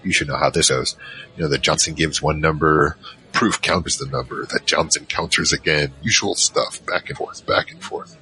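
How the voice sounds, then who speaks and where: Audio drama narrator: male actor as easygoing troll mage, extremely low pitch, crisp bright edge, rambling slangy storytelling. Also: moderate pace, low-quality recording. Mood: wry reminiscing. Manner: casual, rambling, slangy asides. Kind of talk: conversational first-person drama narration.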